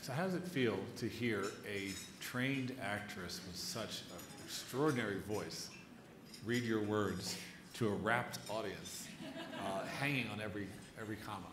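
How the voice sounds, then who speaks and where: A man with a fairly low voice interviews a writer onstage. His phrasing is deliberate and measured. He is recorded in an echoey room with clear background noise. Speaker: interviewer, moderator. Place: live onstage event before an audience.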